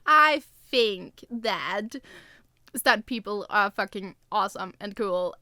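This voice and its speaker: A woman's voice: medium pitch, sharp tone, no echo. A woman co-hosting a podcast in casual, informal chat. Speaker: podcast co-host and co-writer.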